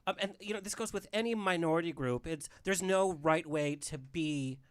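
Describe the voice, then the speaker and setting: A man's voice: on the high side, normal speed, smooth. A man from Southern California hosting a casual talk show, chatty and reflective as he puts questions to guests. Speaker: host.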